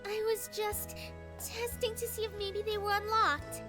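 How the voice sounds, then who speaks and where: A woman's voice: very high-pitched, level tone, measured pace. An actress playing a cute, flustered schoolgirl in a visual novel game. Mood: flustered, defensive. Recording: music behind a quieter voice.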